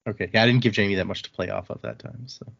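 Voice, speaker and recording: A man with a fairly low voice talks informally as a show host discussing a book. He is chatty and tends to digress. The audio is slightly dull and lo-fi. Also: fairly even intonation, very fast, creaky, fairly bright tone. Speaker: podcast host.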